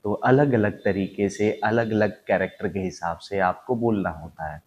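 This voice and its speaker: A man's voice: fairly low pitch, moderate pace, a little mellow, lo-fi sound. An Indian-accented man speaking as an acting coach, giving an instructional talk in an emphatic way with repeated, parallel phrases. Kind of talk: instructional talk to viewers, demonstrating voice techniques.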